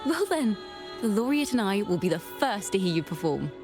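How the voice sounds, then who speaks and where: An actress playing an encouraging, poised female bard in a game, guiding others with gentle, lyrical phrasing. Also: mid-range voice, very animated, normal speed. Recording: music behind the voice.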